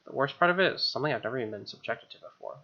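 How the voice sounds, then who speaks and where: A man's voice: mid-range voice, lively intonation, racing, husky, muffled recording. A man with an American accent reading a visual novel aloud as a gaming host, with casual asides. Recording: game music behind.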